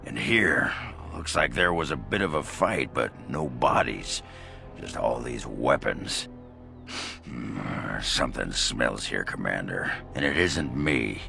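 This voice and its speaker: Very deep, gravelly, gruff fantasy warrior. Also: male voice, bright, forceful tone, exaggerated voice. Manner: blunt, grumbling. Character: gruff grumbling bearded male fantasy warrior companion. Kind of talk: video game character acting.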